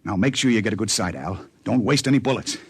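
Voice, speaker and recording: An actor playing a tough, hot-tempered frontier father in a 1950s radio drama, barking gruff orders. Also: on the low side, animated, fast, close to an everyday voice. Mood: tense. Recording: hissy old radio transcription.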